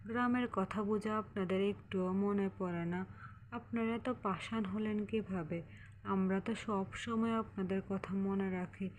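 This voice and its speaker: A woman's voice: medium pitch, steady tone, a little slow, a little mellow, close to an everyday voice. A woman voicing a concerned, chiding village mother in a children's cartoon. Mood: fondly chiding. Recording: faint background noise.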